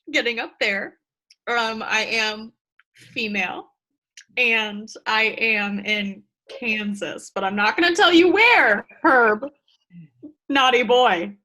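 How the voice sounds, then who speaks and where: A woman's voice: on the high side, pushed and bright, exaggerated voice. A woman playing a giggly, teasing woman of almost twenty-two in an improv sketch.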